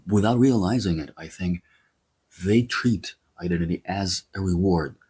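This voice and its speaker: A man from Greece, giving measured, opinionated commentary. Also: sits low, dark tone, breathy edge. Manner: measured, explanatory, opinionated asides. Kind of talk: scripted commentary to camera.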